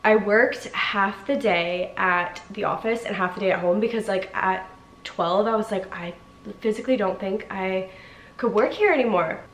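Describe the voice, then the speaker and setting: A young woman in her twenties talks to her camera from a living-room couch, her voice nasal and congested from a cold. She rambles casually, leans on "like" a lot and lets some sentences fade out softly. The room gives her voice a noticeable echo, with a faint hiss behind it. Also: mid-range voice, moderate pace. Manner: chatty, lots of "like" fillers, sometimes trails off quietly. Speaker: vlogger. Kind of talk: casual vlog chat to camera. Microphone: camera in front at sitting distance.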